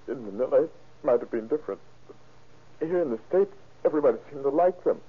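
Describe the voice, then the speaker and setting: Nervous earnest young fella on old radio show, lowish husky voice, tinny sound. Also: male voice. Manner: hesitant, earnest. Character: earnest, uneasy young student stepson. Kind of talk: old-time radio crime drama acting. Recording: thin, scratchy old radio recording.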